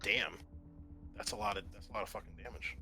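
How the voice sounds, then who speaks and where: Male game master, bright tone, offhand and foul-mouthed, directing tabletop play over music. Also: on the low side, fast, creaky. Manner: casual, swears freely. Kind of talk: running a tabletop role-playing game.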